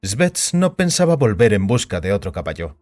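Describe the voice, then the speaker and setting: A male narrator with a fairly low voice reads scripted fiction dramatically. His intonation is very animated. Soft music runs behind him. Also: normal speed.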